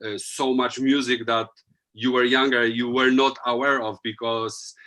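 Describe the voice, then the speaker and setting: A man's voice: medium pitch, level tone, measured pace, pushed and bright. A man with a Polish accent, a DJ guest, speaking loosely with many fillers while thinking aloud. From Poland. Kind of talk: casual interview chat.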